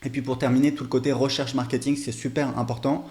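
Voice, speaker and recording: A man giving a casual, unscripted software demo as an online business coach, with loose, hesitant phrasing full of filler tags. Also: medium pitch, level tone, fast talker.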